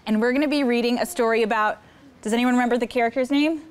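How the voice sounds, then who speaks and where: A young woman hosting story time, reading a picture book aloud to children in an expressive, encouraging way. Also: on the high side, fast talker, smooth. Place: studio set with seated children.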